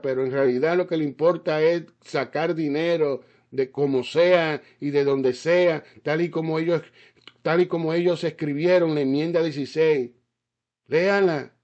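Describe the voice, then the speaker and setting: A man's voice: fairly high pitch, a little mellow. A man preaching a fiery sermon, often stopping to ask whether his listeners understand, with stammered restarts and repeated words. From Caribbean island. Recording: slightly dull, lo-fi sound.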